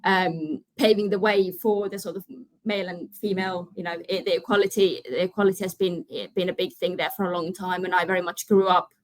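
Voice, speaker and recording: A woman's voice: on the low side, fairly even intonation, brisk, few pauses, dry recording, rough recording. A young woman from Finland, a podcast guest talking in a casual, earnest way, often restarting her sentences. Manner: earnest, many restarts and "you know". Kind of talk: casual interview chat.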